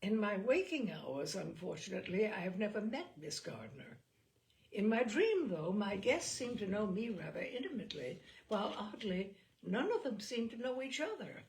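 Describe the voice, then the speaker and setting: A woman hosting a reading series, speaking in an expressive, deliberate, theatrical storytelling style. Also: mid-range voice. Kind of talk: expressive literary reading with chatty introduction.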